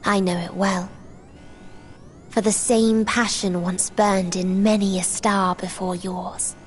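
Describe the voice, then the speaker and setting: A woman's voice: mid-range voice, flat delivery, slow, natural voice. A woman voicing an ominous, sorrow-weary celestial being with cold serenity in a dramatic video game. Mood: mournful, cold. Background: loud music.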